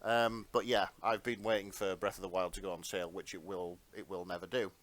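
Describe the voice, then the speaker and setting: A man's voice: low-pitched, flat delivery, brisk, a bit bright. A man co-hosting a podcast, chatting casually with wry, somewhat hesitant asides. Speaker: podcast co-host. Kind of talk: casual chat.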